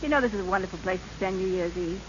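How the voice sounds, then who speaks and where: A woman's voice: mid-range voice, very fast, natural voice. An actress playing a wistful, sincere young woman in an old radio drama, with earnest theatrical delivery on a muffled, hissy recording. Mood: happy. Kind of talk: 1930s-40s radio drama acting.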